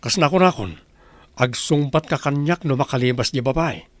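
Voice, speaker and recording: A man's voice: mid-range voice, normal speed, no room sound, close to an everyday voice. A man playing a stern, controlling rich master of a house in a melodramatic audio drama.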